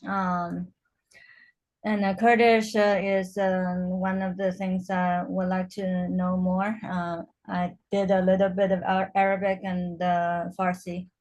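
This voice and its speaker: A woman introduces herself to an online language class over a video call. She speaks slowly and hesitantly, filling her pauses again and again. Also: on the low side, level tone, darkish tone, lo-fi sound. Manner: hesitant, many fillers. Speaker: student.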